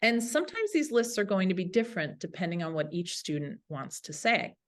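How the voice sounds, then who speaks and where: A woman's voice: on the low side, very animated, a little fast. A woman teacher giving an instructional webinar talk to colleagues in an enthusiastic, informal way. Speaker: language teacher presenting a webinar.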